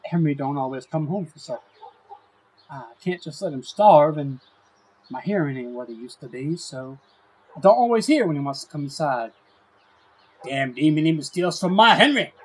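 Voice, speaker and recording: A man's voice: fairly high pitch, animated, moderate pace, pauses often, slightly rough, low-quality recording. An actor playing a cranky, superstitious old country man, indignant and folksy, in an audio drama. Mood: defensive, grumbling. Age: older. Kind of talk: audio drama character acting.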